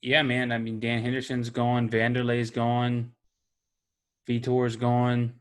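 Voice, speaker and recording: A man's voice: medium pitch, monotone, slow, clean tone. A man co-hosting a casual sports chat, laid-back and chatty. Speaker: podcast co-host.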